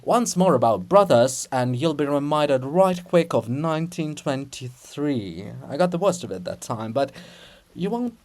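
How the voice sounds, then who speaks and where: A man's voice: a little high, very animated, unhurried, a little mellow. A man voicing an irritable, sarcastic female monument in a dramatic reading of a farce, scolding and biting. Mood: sarcastic, threatening.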